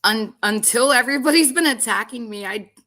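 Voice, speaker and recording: Chatty woman host, bright girly-ish voice, lots of um and like. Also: on the high side, brisk. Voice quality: voice others call girly. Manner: rambling, many fillers and restarts. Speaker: podcast host. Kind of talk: casual reality-show commentary.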